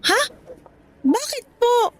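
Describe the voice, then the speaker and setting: Radio drama: high-voiced young mom in her twenties, polite, hurt, pleading. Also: big pitch swings, extremely slow, slight background noise, exaggerated voice. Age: 20s. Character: young new mother, hurt but polite daughter.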